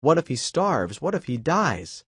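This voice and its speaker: Calm read-aloud narrator, male teacher, measured pace, close dry recording. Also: fairly high pitch, breathy edge, no echo. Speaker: English teacher reading a story.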